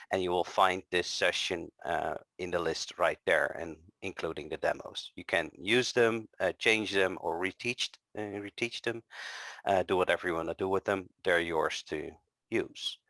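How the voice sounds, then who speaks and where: A man co-presenting a technical session, friendly, often pausing with ums and uhs. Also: sits low, a bit bright, dry recording. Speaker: conference co-presenter. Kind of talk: scripted tech presentation.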